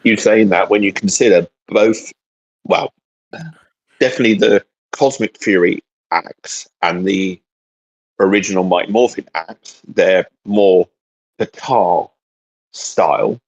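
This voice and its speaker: A man chats casually as a co-host, somewhat hesitant and feeling for his words. His voice is lowish and quite husky. The recording is a little dull and lo-fi. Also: steady tone, unhurried, long pauses. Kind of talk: casual geeky discussion. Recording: dull, lo-fi sound.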